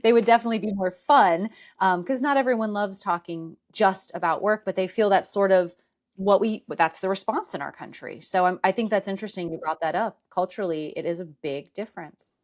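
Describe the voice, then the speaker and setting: A woman in her forties hosting an interview podcast, asking measured questions and affirming her guest. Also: mid-range voice. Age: 40s. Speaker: host.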